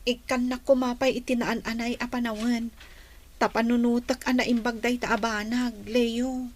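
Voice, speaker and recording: A woman's voice: on the high side, flat delivery, moderate pace, lightly acted. A woman playing a polite, hesitant young daughter who is being courted, in a radio drama. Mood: hesitant, respectful.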